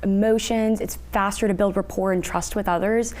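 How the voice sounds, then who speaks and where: A woman's voice: medium pitch, steady tone, moderate pace, darkish tone. A woman speaking as a therapist guest, giving explanatory answers in a news interview. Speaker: therapist guest, licensed counselor.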